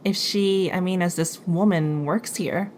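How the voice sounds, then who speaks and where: A woman's voice: on the low side, steady tone, a little slow, darkish tone, a little hiss or hum, close to an everyday voice. A woman playing a skeptical, exasperated young superhero in an audio drama, dry and nervy, as if always bickering. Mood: anxious, doubtful.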